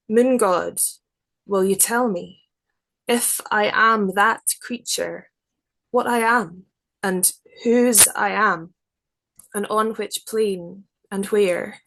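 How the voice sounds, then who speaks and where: A woman poet speaking in a deliberate, careful, literary way, as in a reading of her own work. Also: medium pitch, fairly bright tone, rough recording. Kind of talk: measured poetry reading and reflective explanation.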